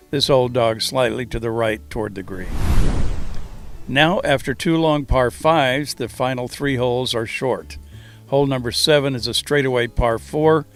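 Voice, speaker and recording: A man's voice: mid-range voice, a bit bright. Male tour narrator and host, mid-range voice, scripted read over a music bed. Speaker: host of a golf course review.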